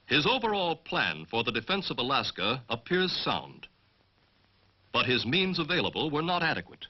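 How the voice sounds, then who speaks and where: A man with an American accent giving formal, measured, scripted military narration as the narrator of a training film. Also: mid-range voice, very animated. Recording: dull 1940s film soundtrack.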